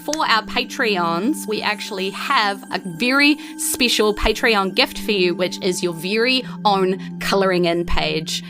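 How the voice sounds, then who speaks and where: A woman narrates as a game master, painting scenes in descriptive, conversational detail. Her voice sits at a middle pitch, with clear music underneath. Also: a little slow, few pauses. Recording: clear music bed behind the voice. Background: background music.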